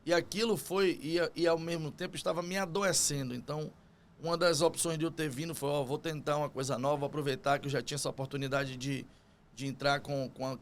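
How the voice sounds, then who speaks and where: Podcast guest: male business owner with a Bahian accent, slightly high-pitched voice, talking through his story in conversation. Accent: Bahian Brazilian Portuguese. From Salvador, Bahia, Brazil.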